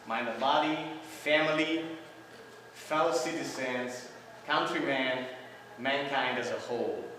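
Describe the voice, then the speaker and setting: A middle-aged Italian man presents research at a conference, explaining points step by step and checking in with "right?". His voice, at a middling pitch, reaches the mic from across a large hall, with strong echo and a long reverb tail. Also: fairly bright tone, slight background noise. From Italy. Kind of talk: academic conference talk. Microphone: room microphone heard from far off. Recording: echoey hall recorded from far off.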